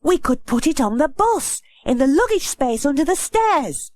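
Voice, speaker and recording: A woman's voice: sits high, sing-song, moderate pace, a little mellow, no room sound. A woman voicing an eager, earnest young boy, the son in a children's storybook recording. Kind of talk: audiobook character acting.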